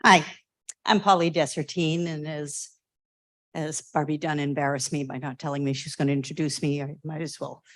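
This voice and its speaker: An older woman with a lowish voice speaks in a chatty, self-deprecating way, sharing her memories as a former nurse practitioner student. Her voice comes through a remote call and sounds dry, close and free of room echo. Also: fairly even intonation, moderate pace, a bit bright. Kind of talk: memorial remembrance with humor.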